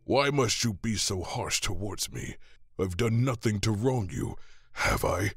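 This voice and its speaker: An actor playing an earnest, naive god of life in a fantasy audio drama, pleading gently with his brother. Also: fairly low pitch, a little slow, vocal fry, breathy edge, exaggerated voice. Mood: pleading, defiant.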